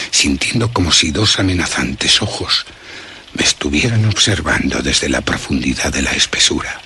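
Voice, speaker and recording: A man's voice: very deep voice, pushed and bright, slight background noise, exaggerated voice. A man playing an anxious philosopher who recounts a night of terror in a solemn, literary, suspenseful radio drama narration. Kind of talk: dramatic first-person horror radio narration.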